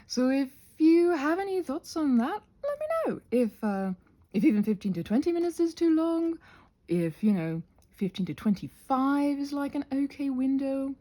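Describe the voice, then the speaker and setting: A woman with a soft, slightly husky voice rambles casually to viewers in an ASMR craft video, halting and self-conscious, drifting into asides. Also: high-pitched, lively intonation. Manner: halting and self-conscious, trailing off mid-thought, many asides.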